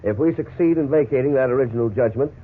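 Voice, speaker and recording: An American actor playing a calm, methodical lawyer in a 1950s radio drama, explaining things in a measured way. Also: male voice, medium pitch, lightly acted. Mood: measured, businesslike. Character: calm, methodical male lawyer advising a client. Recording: tinny, noisy 1950s radio recording.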